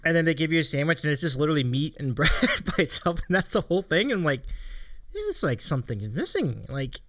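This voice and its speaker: A man's voice: fairly high pitch, sing-song, quick pace, fairly bright tone, no room sound, studio quality. A man hosting a livestream, chatting casually with his guest and viewers. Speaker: livestream host.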